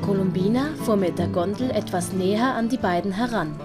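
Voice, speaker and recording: A woman's voice: medium pitch, a little fast, darkish tone. Woman narrating over prominent music. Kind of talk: scripted audiobook narration.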